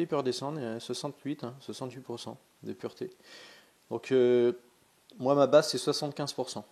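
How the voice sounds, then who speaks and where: A man with a cold, casually demonstrating something in a vlog and talking in a hesitant, chatty way with many fillers and restarts. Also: medium pitch. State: has a cold. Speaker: pendulum dowsing vlogger.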